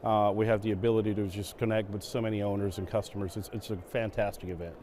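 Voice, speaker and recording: Mellow-voiced Venezuelan man, relaxed interviewee. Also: lowish voice, fast, slight background noise. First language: Spanish. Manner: relaxed, with many fillers and repeated words. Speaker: guest, operations executive. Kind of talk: conference stage interview. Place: conference stage.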